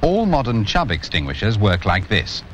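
A British man in his fifties presenting a scripted instructional training film in a measured, instructive manner. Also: fairly low pitch, sing-song. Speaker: presenter and narrator of a fire safety training film. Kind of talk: scripted instructional narration to viewer. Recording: muffled, noisy 1980s 16mm film soundtrack.

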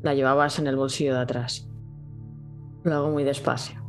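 Tabletop player, hushed, slow, deliberate, mid pitch. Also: flat delivery, soft background music. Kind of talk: in-character tabletop play.

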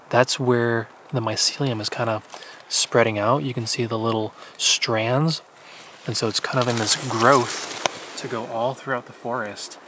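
A man in his thirties explains things patiently, outdoors in a wet forest. His voice sits at an even middle pitch. The recording carries clear outdoor background noise. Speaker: forager guide.

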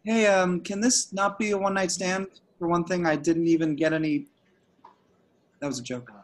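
A man's voice: sits high, steady tone, moderate pace, lightly acted. A man reading the part of an awkward, smitten young slacker in an amateur table read, stammering and hesitant. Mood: flustered. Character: awkward, smitten, dim young male slacker, nervously earnest. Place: online group video call.

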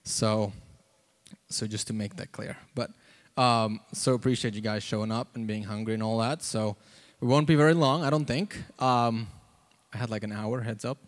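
A man gives an informal sermon and testimony into a handheld mic, halting and conversational, with lots of "like" and "you know.". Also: fairly low pitch, steady tone. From South Africa. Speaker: preacher. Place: church auditorium, on stage before seated congregation. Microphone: handheld microphone.

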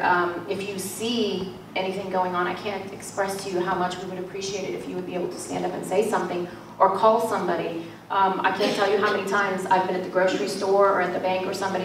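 A woman's voice: on the low side, flat delivery, quick pace, background noise. A young woman speaking earnestly into a handheld mic at a panel discussion, pleading with her audience. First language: Arabic or Turkish. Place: large church hall. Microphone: handheld mic at a podium. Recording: echoey hall from far off.

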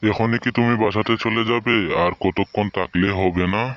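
A man voicing a polite, hospitable husband and friend in scripted cartoon dialogue, speaking deliberately. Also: lowish voice, fairly even intonation, no room sound, natural voice. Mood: gently questioning. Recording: dull, lo-fi sound.

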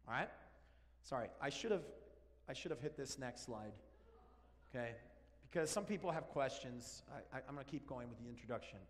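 A man in his forties from Argentina, a native Spanish speaker, preaching an animated, joking sermon while walking around the stage. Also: mid-range voice, a little fast. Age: 40s. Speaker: pastor. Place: large church sanctuary. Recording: long church hall reverb.

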